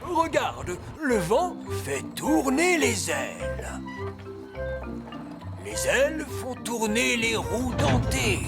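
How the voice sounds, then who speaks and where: A man's voice: very high-pitched, exaggerated voice. A man voicing a wise old male elf miller in a children's cartoon, explaining things expressively like a patient teacher. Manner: didactic, exclamatory, expressive. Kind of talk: children's cartoon dub acting. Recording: music behind the voice.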